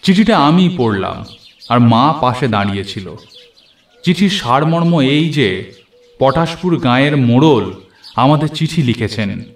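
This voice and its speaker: A man narrating a first-person cartoon story in an expressive, dramatised storytelling way. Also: mid-range voice, measured pace, long pauses. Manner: expressive storytelling with acted dialogue. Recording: echoey, with noisy train and storm ambience.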